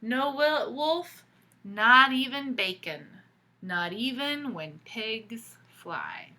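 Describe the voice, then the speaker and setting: A woman voicing a kind, patient little male pig in a storybook read aloud, with a soft, friendly delivery. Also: on the high side, animated, unhurried, pitched above the performer's own voice, exaggerated voice. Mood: gentle.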